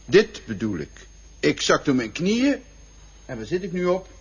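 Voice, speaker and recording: An actor in an absurdist radio play, playing an evasive, self-effacing traveller with a formal, contrary manner. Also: male voice, on the high side, very animated, measured pace, slightly rough, full character voice. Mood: argumentative. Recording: muffled old radio drama recording.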